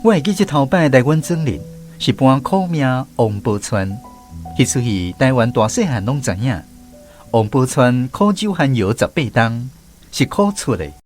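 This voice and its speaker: Man, Taiwanese accent, radio storyteller, scripted narration, animated intonation, music underneath. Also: mid-range voice, normal speed. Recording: music playing behind the voice.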